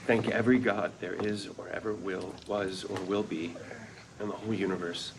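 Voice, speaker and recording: Man acting a tender, low-voiced wistful father. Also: little pitch movement, lightly acted. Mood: solemn, grateful. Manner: earnest, measured delivery. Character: tender, wistful father telling his children his love story. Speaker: actor at a script read-through. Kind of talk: reflective first-person scripted narration. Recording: noisy live room recording.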